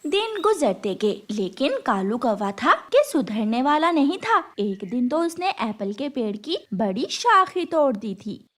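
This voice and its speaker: High, animated woman storyteller. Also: measured pace, hardly any pauses. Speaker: storyteller, narrator. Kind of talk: scripted children's story narration.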